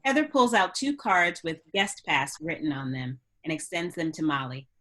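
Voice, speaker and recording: A woman's voice: low-pitched, lively intonation, moderate pace, a bit bright. A woman narrating stage directions at a script table read.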